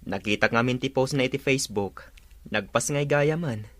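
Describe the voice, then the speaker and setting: A man acts a sheepish, evasive brother in an audio drama. His medium-pitched voice is subdued and hesitant. The sound is close and dry. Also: moderate pace, fairly bright tone, no room sound, natural voice. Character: sheepish, evasive older brother, an unfaithful father. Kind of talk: Ilocano audio drama acting.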